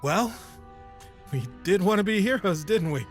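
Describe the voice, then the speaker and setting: A man's voice: high-pitched, expressive, unhurried, faint music behind. A man playing a hesitant, awkward but determined young novice knight in an audio drama. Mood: shaken.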